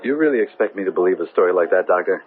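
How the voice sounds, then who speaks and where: A man's voice: deep voice, lively intonation, very fast, phone-quality sound. An actor playing a brusque, resentful male scientist in a 1970s radio drama, challenging and scornful. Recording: thin radio broadcast with music.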